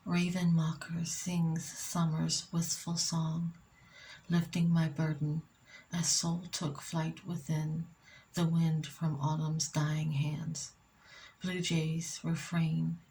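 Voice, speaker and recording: A soft-spoken woman reading poetry in ASMR style, often whispering, with slow, deliberate phrasing and pauses between short phrases. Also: deep voice, a little hiss or hum, rough recording. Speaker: poet reading own work.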